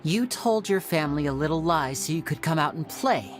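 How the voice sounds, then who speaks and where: A man's voice: on the high side, animated, brisk, natural voice. A confident young magician from a video game, acted by a man. His light, youthful voice swings expressively through theatrical, playful phrasing, with faint music underneath. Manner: theatrical, articulate, teasing. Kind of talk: video game character acting.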